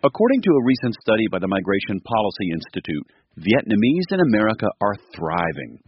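A man reporting for radio news, telling the story in a measured, scripted narration. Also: breathy edge, dry recording, lo-fi sound. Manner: measured storytelling delivery with lively pitch.

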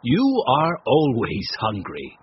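A man's voice: mid-range voice, huge pitch swings, slow, dull audio, background noise. A man voicing a kindly, sensible elderly grandfather in a storybook reading. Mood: calm.

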